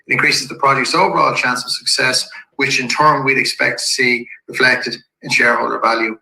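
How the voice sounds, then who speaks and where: A man with a slightly croaky voice from a head cold, a company executive calmly walking shareholders through an investor presentation slide by slide. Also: mid-range voice. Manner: measured and businesslike, with occasional restarts. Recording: echoey room.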